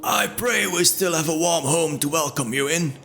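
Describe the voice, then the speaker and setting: A man's voice: fairly high pitch, moderate pace, gravelly, a bit bright, voice pushed up. A man voicing a worried, kindly monk healer in a fantasy audio drama, speaking earnestly and with urgency. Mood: raised, hopeful. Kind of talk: audio drama character acting. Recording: music bed under the voice.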